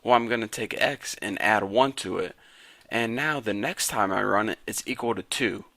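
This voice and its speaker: A raspy-voiced young man casually teaching a programming lesson to viewers. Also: lowish voice, normal speed, sharp tone, dry recording. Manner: casual, chatty, talks to viewers as guys. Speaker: programming tutor. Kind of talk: casual screen-recorded coding tutorial.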